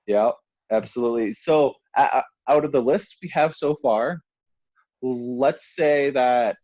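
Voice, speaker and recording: A man's voice: medium pitch, measured pace, a little mellow, no echo, lo-fi sound. A man in his twenties in casual chat, stammering and mostly agreeing. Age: 20s. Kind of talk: casual podcast banter.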